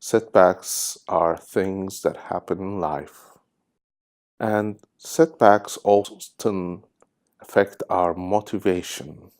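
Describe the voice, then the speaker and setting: Man, deep low voice, very slow pace, guided meditation narration, deliberate pauses. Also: steady tone, a little mellow. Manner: measured, deliberate phrasing with pauses. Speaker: guided meditation host, hypnosis therapist.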